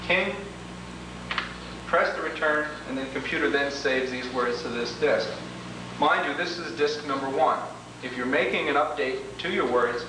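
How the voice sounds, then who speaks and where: A man narrating an instructional software demonstration, explaining each step in order. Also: medium pitch. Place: reverberant indoor room, away from mic. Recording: very echoey, distant, noisy 1980s tape.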